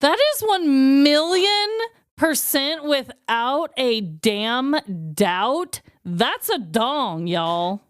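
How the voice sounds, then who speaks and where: A woman's voice: high-pitched, animated, very slow, smooth, no room sound, clean studio sound. A young adult woman co-hosting a podcast, blunt and emphatic, laughing often and swearing freely. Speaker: podcast co-host. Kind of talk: casual comedic chat.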